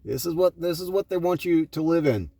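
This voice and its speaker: A man's voice: fairly high pitch, brisk, vocal fry, warm tone, no echo. An American man reading aloud and adding his own commentary in an emphatic, preachy manner, like a sermon. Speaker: audiobook reader and commentator.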